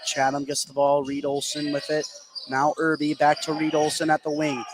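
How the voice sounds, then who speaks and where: A man doing live radio play-by-play of a basketball game in short clipped phrases, getting excitable on big plays. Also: on the high side, normal speed, over music. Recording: clear gym noise behind the voice. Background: basketball game ambience, bouncing balls.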